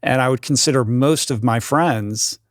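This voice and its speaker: A man in his fifties hosting a podcast, relaxed and conversational, with lots of fillers. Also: medium pitch, moderate pace, dry recording, studio quality. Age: 50s. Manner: relaxed, many fillers and backchannels. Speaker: podcast host. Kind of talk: casual interview chat.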